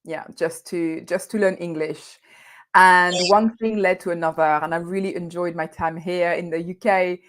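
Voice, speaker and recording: French-accented woman coach, slightly low voice, animated, laughs a lot, restarts phrases. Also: normal speed. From France. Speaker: guest coach. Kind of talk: conversational interview.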